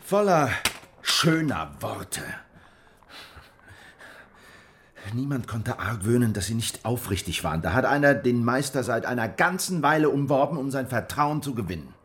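An actor playing a weary, distrustful survivor of a crushed peasant revolt in a German radio drama, brooding and bitter. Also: male voice, mid-range voice, big pitch swings, normal speed, a bit bright. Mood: agitated, distrustful. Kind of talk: German radio drama dialogue and first-person narration. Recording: music behind the voice.

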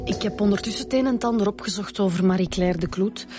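Casting: woman to play factual Flemish policewoman, mid-pitched, methodical, natural radio-drama delivery with small hesitations. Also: quick pace, over music, natural voice. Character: matter-of-fact female detective reporting case details.

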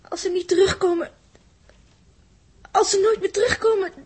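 An actress playing a remorseful, distraught young woman, pleading and halting, in a 1960s radio drama. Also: extremely high pitch, fairly even intonation. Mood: anguished pleading.

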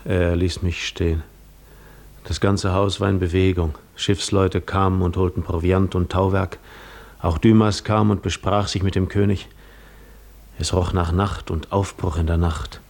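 An actor playing a brooding male wanderer in a 1950s German radio drama, gravely recounting a painful farewell in measured first person. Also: deep voice, level tone, a little mellow, full character voice. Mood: somber, remembering.

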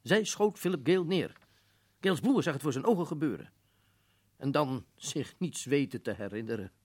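A man's voice: on the high side, lively intonation, moderate pace, darkish tone, breathy edge. A man playing an irritable, stubborn colonel who runs a prison, in a Dutch radio drama, speaking emphatically and dismissively. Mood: irritated, insistent. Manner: emphatic, dismissive, repeats words insistently. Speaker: actor in a radio play.

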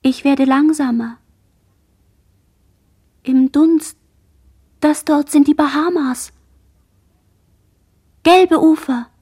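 A woman playing a dazed, traumatized girl survivor in a halting, introspective radio drama monologue that dips into whispers. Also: high-pitched, warm tone. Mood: dreamy, drifting. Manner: halting, fragmented, introspective delivery, dropping into whispers.

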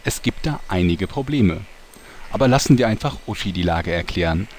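A man's voice: deep voice, lively intonation, normal speed, a little mellow. A man playing the knowing storyteller of a fantasy soap, reading scripted mock-dramatic narration. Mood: mock-solemn. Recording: clearly noisy amateur recording.

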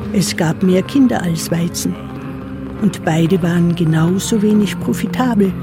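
A woman acting as a somber storyteller in a literary radio drama, reciting soberly and deliberately. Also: mid-range voice, close to an everyday voice. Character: somber woman storyteller of hungry, sleepless children. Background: music.

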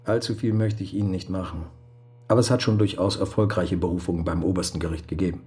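An actor playing a plump, businesslike lawyer in a radio drama, speaking in a measured, matter-of-fact way. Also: male voice, deep voice, steady tone, warm tone, natural voice.

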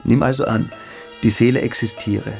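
A man's voice: medium pitch, steady tone, moderate pace, creaky, darkish tone, soft background music, close to an everyday voice. A man in a radio play, playing a fanatical, brooding schoolboy philosopher who lectures with pompous intensity. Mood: fervent lecturing.

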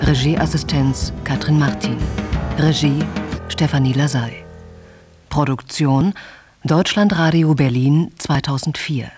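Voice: medium pitch, slow pace. An announcer reading scripted radio feature credits in a formal, orderly way. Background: guitar music.

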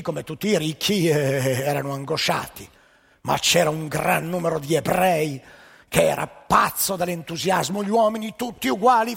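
A man telling jokes and stories on stage in a lively, dramatic way. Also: high voice, vocal fry, breathy edge. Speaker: stage storyteller. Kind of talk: theatrical storytelling with jokes. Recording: live stage show in a reverberant hall.

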